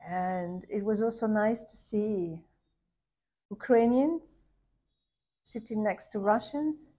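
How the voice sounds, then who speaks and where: Woman, soft thoughtful storytelling, lots of restarts and pauses, mid-pitched. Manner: hesitant, searching for words, many restarts; soft and contemplative, rising to warm emphasis. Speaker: podcast host recounting a visit. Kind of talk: reflective personal storytelling.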